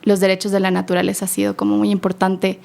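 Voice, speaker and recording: A young woman with a gravelly, creaky voice answers interview questions. She is warm but halting and fills her pauses often. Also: medium pitch, completely flat delivery. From Ecuador. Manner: warm, halting, many fillers. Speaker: guest, activist for pollinators.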